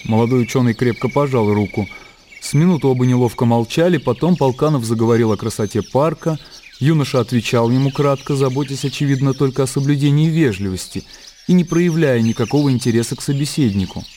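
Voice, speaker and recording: A man reading literary narration for a radio drama in a composed, measured storytelling manner. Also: mid-range voice, slight background noise. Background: soft music.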